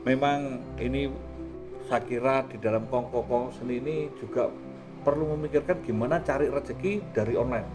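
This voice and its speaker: Male host, average pitch, conversational, music clearly audible underneath. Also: moderate pace. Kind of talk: conversational talk show discussion.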